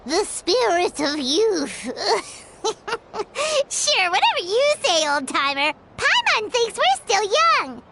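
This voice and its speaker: Casting: perky little anime-game sidekick creature, very high childlike squeak, animated, cheeky. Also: female voice, slow pace, background noise, heavily acted. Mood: cheeky, playful. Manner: refers to self in third person, exclamatory. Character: small childlike floating fairy companion, perky and cheeky. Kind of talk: anime video game voice acting. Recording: music behind the voice.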